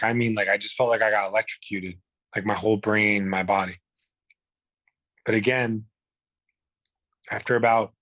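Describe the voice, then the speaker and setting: A man's voice: on the low side, fairly bright tone, dry recording. A man, telling his own illness story in a blunt, profane, rambling way. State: hoarse. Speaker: guest. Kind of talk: candid personal storytelling.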